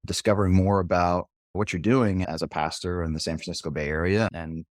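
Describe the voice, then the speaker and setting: Deep-voiced man hosting a podcast. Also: fairly even intonation, fairly quick, no echo, clean studio sound. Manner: upbeat, encouraging, casual fillers. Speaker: podcast host. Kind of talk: reading a guest bio, then casual interview.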